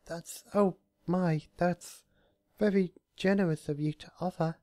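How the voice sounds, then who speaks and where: A man voicing a gentle, apologetic young female pony in a storybook reading, hesitant, stammering and soft-spoken. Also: high voice, fairly even intonation, a little slow, no echo, studio quality, voice pushed up. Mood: timid, apologetic. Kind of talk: storybook character voice.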